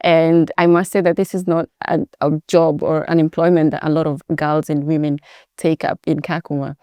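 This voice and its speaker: Low, smooth-voiced woman interviewer. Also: little pitch movement, normal speed, warm tone, dry recording. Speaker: host, interviewer. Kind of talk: interview questions.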